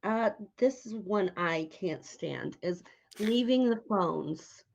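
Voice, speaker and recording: A woman's voice: medium pitch, animated, slow, gravelly. A woman interviewing in a casual, chatty way, on slightly dull lo-fi audio. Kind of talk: casual chat.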